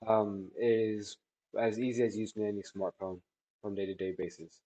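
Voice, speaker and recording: Guy in his 30s doing a product review, lowish mellow voice, kinda halting and measured. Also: steady tone, poor audio. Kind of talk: spoken testimonial answering questions. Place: small indoor office room by a large window.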